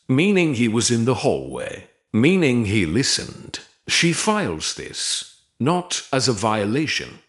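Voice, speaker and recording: A man reads dramatic romance fiction as an audiobook narrator. His voice sits on the low side and moves with wide, sweeping changes of pitch. He goes slowly and deliberately, setting short phrases apart with pauses. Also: a bit bright.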